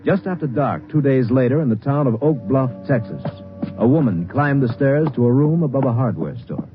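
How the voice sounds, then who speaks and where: A man's voice: medium pitch, animated, normal speed. An American man delivering documentary-style narration for a 1950s radio police drama. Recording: dull old radio recording. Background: faint music.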